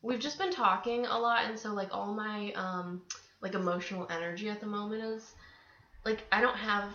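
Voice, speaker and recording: A young woman chats casually on a home podcast, giggling and filling her talk with "like" and "um". Her voice has a gravelly fry with a bright edge. The room echoes and the recording is dull and lo-fi. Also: medium pitch, fairly even intonation, moderate pace, slight background noise. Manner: chatty, giggly, lots of fillers. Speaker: podcast guest. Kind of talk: casual chat.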